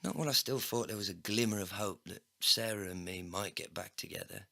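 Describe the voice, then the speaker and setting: A man narrating a scripted first-person comedy story in an expressive, sweary storytelling manner. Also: fairly low pitch, a little fast, vocal fry, no room sound, clean studio sound. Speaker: narrator and lead character.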